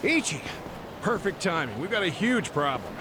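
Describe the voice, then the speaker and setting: An actor voicing a fussy, sassy older caretaker in a video game dub. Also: male voice, on the high side, very animated, moderate pace, noisy background. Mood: flustered, huffy. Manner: sassy, huffy. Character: fussy, indignant older caretaker who raised the hero.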